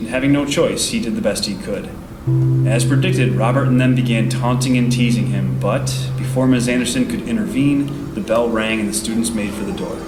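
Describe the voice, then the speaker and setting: A man's voice: mid-range voice, normal speed, lightly acted. A man acting as the earnest narrator of a radio play, reading scripted scene introductions. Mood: earnest narration. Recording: roomy, loud guitar music underneath.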